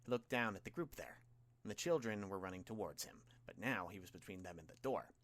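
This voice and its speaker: A man's voice: lowish voice, expressive, a little fast. A man narrating a scripted story as an audiobook reader. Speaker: audiobook reader of fan fiction.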